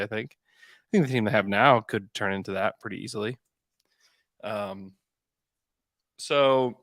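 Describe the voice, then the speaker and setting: This is a man with a somewhat low voice, recorded close and dry. He hosts a casual sports podcast, talking through basketball as he thinks. He often restates a point to drive it home. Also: no echo.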